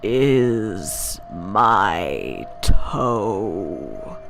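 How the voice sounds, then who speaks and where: Slow groaning ghoul, deep and menacing. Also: female voice, very animated, creaky, background noise, heavily acted. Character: groaning undead voice hunting its stolen toe, menacing.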